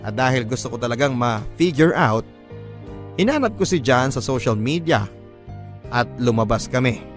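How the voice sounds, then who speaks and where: A man voices a pensive young son in a radio drama. He speaks in a mid-pitched voice, turning questions over as he narrates his own thoughts. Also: expressive, moderate pace, pauses often, soft background music, close to an everyday voice. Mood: pensive, questioning. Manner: introspective, questioning. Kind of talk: first-person radio drama narration in Filipino.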